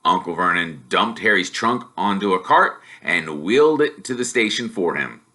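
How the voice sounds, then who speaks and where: A man reading a story aloud as expressive scripted narration. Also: deep voice, normal speed. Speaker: storybook reader.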